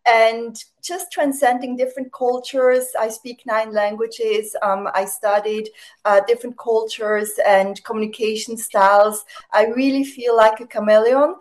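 A woman from Switzerland, native German speaker, talking as a guest in a radio interview, with many "uh" and "um" pauses as she searches for words. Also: fairly high pitch, fairly even intonation. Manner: warm-spoken, many fillers, searching for words. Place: remote, not in studio.

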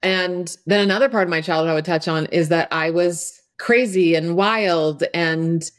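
A woman's voice: on the low side, steady tone, moderate pace. A middle-aged woman from Croatia, a podcast guest talking candidly and openly, often saying "you know" and "like". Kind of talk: conversational interview.

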